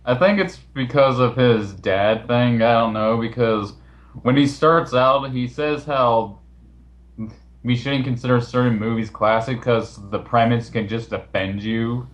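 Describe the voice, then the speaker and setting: Guy hosting a voice call, average pitch, informal and matter of fact. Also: a little slow. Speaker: host of the recorded chat. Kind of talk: casual voice-chat banter. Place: online voice call.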